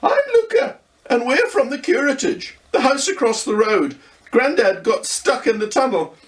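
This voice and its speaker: A man's voice: very high-pitched, expressive, normal speed, recorded from a distance, pitched above the performer's own voice, low-quality recording. A man voicing an eager, talkative young boy in a storybook reading.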